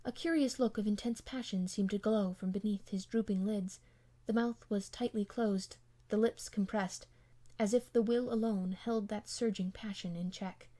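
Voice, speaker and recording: A woman's voice: medium pitch, steady tone, moderate pace, warm tone. A woman reading a classic novel aloud as an amateur audiobook narrator, in scripted literary style.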